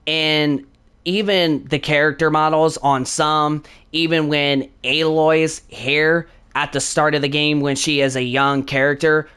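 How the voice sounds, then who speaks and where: A man with a slightly hoarse voice from a sore throat, hosting his channel with a casual, rambling game review that leans on "honestly" and "definitely". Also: on the high side, a bit bright.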